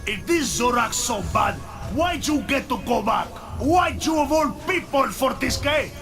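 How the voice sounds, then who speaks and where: An actor playing a boastful, bloodthirsty undead warrior in a fantasy game, declaiming and shouting indignantly. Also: male voice, extremely high pitch, lively intonation, unhurried, gravelly, exaggerated voice. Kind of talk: fantasy game character acting. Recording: music behind the voice.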